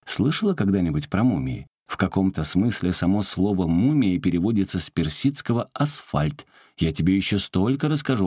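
A man voicing an enchanted man trapped in a hairpin, chatty at first and soon shrill with panic. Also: darkish tone, slightly rough, dry recording, clean studio sound, exaggerated voice. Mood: eager, chatty.

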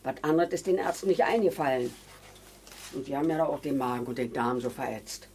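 A woman's voice: very deep voice, brisk, darkish tone, a little hiss or hum. An actress playing a frail, flustered old grandmother who fusses and repeats herself in a naturalistic radio drama. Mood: chatty, plaintive. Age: older. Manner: fussy, muttering, repeating herself. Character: frail, flustered old granny baking drug cookies.

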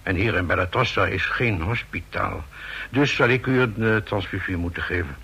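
An actor playing a calm, matter-of-fact village doctor in a radio drama. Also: male voice, sits low, moderate pace, slightly rough, exaggerated voice. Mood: calm, brisk.

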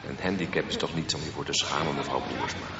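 An actor playing an earnest, formal adviser in a radio drama, reasoning insistently. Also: male voice, a bass voice, fast. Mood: earnest, persuasive. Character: earnest, persuasive male adviser pressing anxious parents. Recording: muffled, echoey, very noisy, distant microphone.

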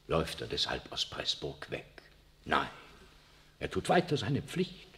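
An actor playing an imperious, evasive aging pope in a 1960s radio drama, speaking in a lofty, cold way and using the royal we. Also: male voice, rumbling low, huge pitch swings, unhurried, fairly bright tone, heavily acted. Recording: long reverb of a large room.

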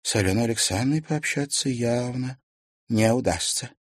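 A man doing a quiet, subdued young woman. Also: mid-range voice, moderate pace, dry recording, natural voice. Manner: quiet, matter-of-fact.

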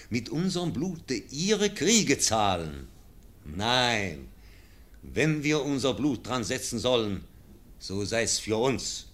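An actor playing an old, dying nobleman who solemnly pleads with his nephew, in a classic radio play. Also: medium pitch. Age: older. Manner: solemn, pleading, swings from loud to hushed.

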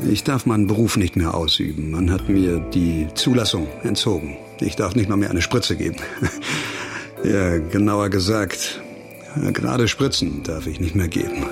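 An actor playing a disgraced ex-doctor just out of prison in a radio crime drama, wry and weary, with hesitations and muttered sardonic asides. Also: male voice, low voice, lively intonation, a little slow, vocal fry, over music, heavily acted.

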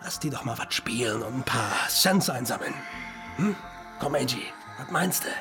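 An actor playing a middle-aged ex-drummer hustler in a radio drama, chummy and bossy with colloquial talk. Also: male voice, mid-range voice, animated, moderate pace, lightly acted. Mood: wheedling. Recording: loud music behind the voice.

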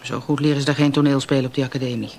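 An actress playing a brusque police detective in a radio drama, with a dry, sarcastic, blunt way of talking. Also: female voice, very deep voice, steady tone, very fast, vocal fry, a little mellow, slight background noise. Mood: irritated, brisk. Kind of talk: naturalistic Dutch radio play acting.

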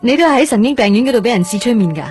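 An actress plays a curious, anxious young woman in a Cantonese radio drama from Hong Kong. Her voice sits fairly high, and she speaks hesitantly, quick to question and to apologise. Prominent music plays underneath. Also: lively intonation, darkish tone, close to an everyday voice.

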